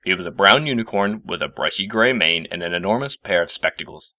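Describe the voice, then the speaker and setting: A man reads a story aloud with expressive delivery. His voice is bright and moves widely in pitch. The sound is dry and close, with no room on it. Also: brisk, no echo. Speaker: fan fiction reader. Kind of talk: scripted story narration.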